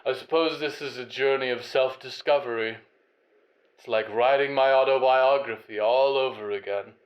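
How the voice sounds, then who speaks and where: A man's voice: medium pitch, steady tone, moderate pace, lo-fi sound, lightly acted. A man voicing a reflective, self-questioning autobiographer in an introspective audio fiction monologue. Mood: musing. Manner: musing, introspective, literary monologue.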